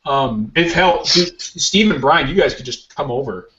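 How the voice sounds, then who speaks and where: A man's voice: fairly high pitch, lively intonation, a little slow, dull audio, background noise. A man hosting a casual podcast, rambling and joking about himself. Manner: rambling, self-mocking, joking. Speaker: podcast host. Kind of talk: casual chat.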